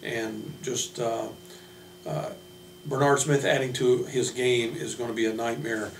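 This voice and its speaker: A man speaking off the cuff as a college basketball coach, introducing his players in a halting, plain-spoken way. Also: medium pitch, little pitch movement. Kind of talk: unscripted team roster introduction. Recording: roomy, distant mic, noisy hum.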